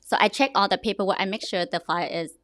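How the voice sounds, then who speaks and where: A young woman with a Vietnamese accent chats as a podcast guest, animated and quick to restart her sentences. Her voice sits in a middle pitch and is recorded dry and close, with no room sound. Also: dry recording. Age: young adult. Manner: animated, restarts often, laughs. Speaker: real estate agent. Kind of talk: podcast interview.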